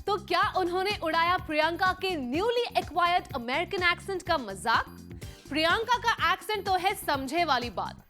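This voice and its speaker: A woman host reading punchy, teasing entertainment headlines as a scripted voice-over. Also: extremely high pitch. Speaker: show host.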